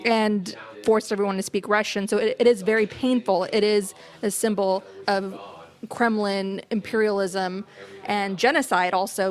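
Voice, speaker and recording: A woman hosting a political podcast, explaining at length with passionate emphasis. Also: medium pitch. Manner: passionate, emphatic, talks in long run-on explanations. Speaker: podcast host.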